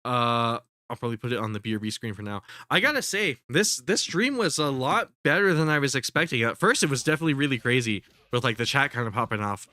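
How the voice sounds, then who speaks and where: A man's voice: medium pitch, expressive, fast, hardly any pauses, bright, forceful tone, no echo. A man commentating casually on a live stream, sounding congested, rambling with lots of fillers and swearing. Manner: rambling, profane, full of fillers and restarts.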